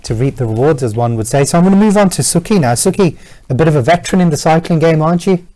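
Male talk show host, warm low tone, brisk delivery, studio interview. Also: expressive, poor audio. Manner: chatty, quick prompting questions.

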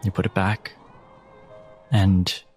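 A man working as game master, narrating a dramatic tabletop horror game deliberately, with pauses between phrases. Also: sits low, little pitch movement, darkish tone. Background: music.

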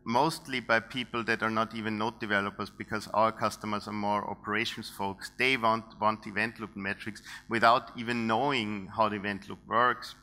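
Bright-voiced Austrian man giving a talk. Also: on the low side, little pitch movement, normal speed, hardly any pauses. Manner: thinking aloud with many fillers and restarts. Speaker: conference speaker. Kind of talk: technical conference talk. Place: large dark conference stage. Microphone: headset.

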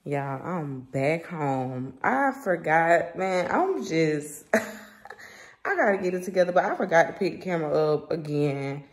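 A young woman with a fairly low voice and a Southern US accent. She talks informally and with plenty of slang, as a vlogger does, into a phone held at arm's length. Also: expressive, a little slow. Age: young adult. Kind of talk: casual vlog chat to camera. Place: rooms at home and a parked car.

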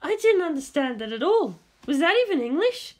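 A woman's voice: high-pitched, sing-song, fairly quick, darkish tone, pitched above the performer's own voice. A woman reading an audiobook, voicing a flustered, complaining grown woman captain. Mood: put out. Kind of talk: audiobook character voice.

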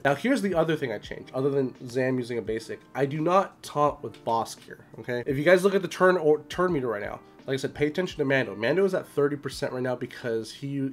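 Casting: male gaming creator, 30s, mid-pitched, slightly hoarse, informal explainer, music behind. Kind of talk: casual gameplay strategy commentary. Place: small room at home.

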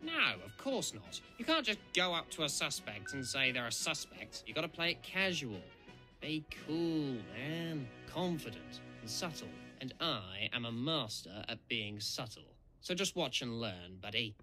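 A man's voice: medium pitch, very animated, measured pace, over music, rough recording. A man voicing a pompous, self-assured red steam engine playing detective in a cartoon. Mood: smug.

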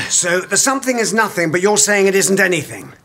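A self-absorbed man in middle age who frets and insists. His pitch jumps around a lot. He sounds indignant and needy in a naturalistic comedy. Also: expressive, fairly quick, a bit bright. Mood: needy, insistent. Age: middle-aged. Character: needy, paranoid, self-absorbed middle-aged radio drama writer. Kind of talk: naturalistic screen comedy acting. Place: indoor office.

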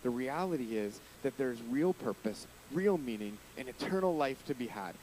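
A man in his thirties preaching a sermon into a handheld mic in a small church hall, earnest, lifting his voice on key points and dropping low at phrase ends. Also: animated, normal speed, a little mellow, a little hiss or hum. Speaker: pastor. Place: church hall with curtains, small indoor stage.

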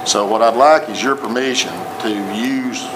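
A man's voice: medium pitch, normal speed. Plainspoken Tennessee man at council table. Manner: plainspoken, repeats himself while explaining. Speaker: city official reporting to council. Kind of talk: council meeting report. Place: council chamber with audience. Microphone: table microphone. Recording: noisy council chamber recording.

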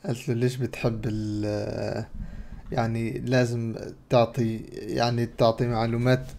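A man's voice: mid-range voice, little pitch movement, normal speed, creaky, darkish tone, a little hiss or hum. A young adult man with a Levantine Arabic accent, casually narrating and commenting on a game as he streams it. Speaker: gaming streamer. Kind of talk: casual game playthrough commentary, retelling dialogue. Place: indoors at a computer.

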